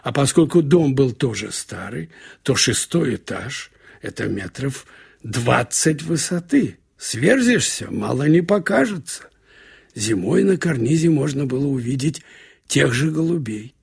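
A man narrating a literary short story in an expressive, storytelling way with wry colloquial asides. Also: mid-range voice, measured pace, vocal fry, slightly rough. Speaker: narrator reading short fiction. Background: music.

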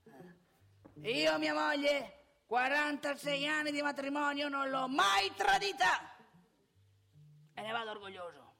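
A woman's voice: high voice, lively intonation, takes it slowly, creaky, pauses often, bright, forceful tone, soft background music, full character voice. A woman playing a cantankerous, opinionated old married man in a theatrical comic monologue, with a northeastern Italian accent, scolding and haranguing in rhetorical questions. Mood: preachy, proud.

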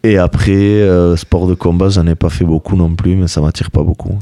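A man's voice: sits low, fairly even intonation, moderate pace, mellow, no echo, studio quality. A young man whose first language is Basque, a rugby player answering interview questions in a casual, hesitant way with many fillers.

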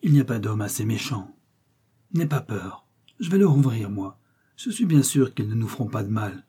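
A man's voice: lowish voice, animated, moderate pace, dark tone, slightly rough. Man voicing a brave, proud peasant boy. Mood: reassuring. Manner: bold, reassuring.

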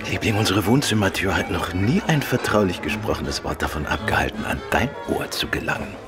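Ein Schauspieler spielt in einem Hörspiel einen weltgewandten, ironisch neckenden Krimiautor und Amateurdetektiv. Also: male voice, low-pitched, very animated, fairly quick, music in the background. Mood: teasing, ironic. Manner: suave, ironic, playful. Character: urbane, teasing gentleman novelist and amateur detective. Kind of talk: German radio play acting.

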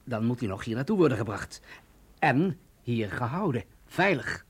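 A man's voice: mid-range voice, very animated, normal speed, husky. A man acting in a radio drama as a tense, stubborn army general with an emphatic, insistent way of talking. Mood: edgy, sardonic.

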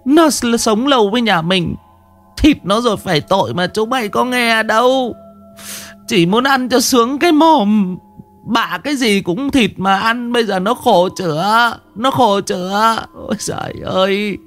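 A man's voice: sits high, fairly even intonation, measured pace, hardly any pauses, a bit bright, soft background music, pitched above the performer's own voice. A man voicing an old village woman who whimpers and scolds tearfully. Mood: wailing.

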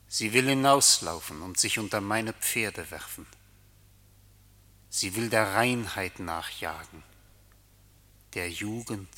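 An actor playing a cruel, domineering tempter in a literary radio play, taunting and declaiming with deliberation. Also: male voice, lowish voice, pushed and bright, long reverb tail, full character voice. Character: cruel, domineering male tempter claiming a fallen woman.

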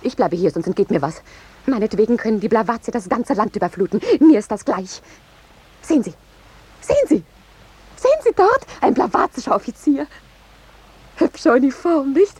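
An actress playing a flighty, eager newspaper reporter in a 1950s radio play, gushing and flippant in a husky voice. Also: female voice, a little high, very animated, normal speed, pauses often, a little mellow. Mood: flippant, excited.

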